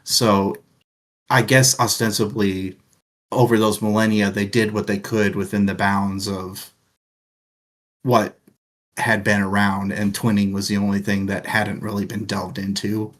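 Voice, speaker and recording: A man's voice: lowish voice, flat delivery, a little slow. A man co-hosting a casual book discussion, talking in a rambling, thoughtful way with plenty of filler words. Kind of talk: casual chat.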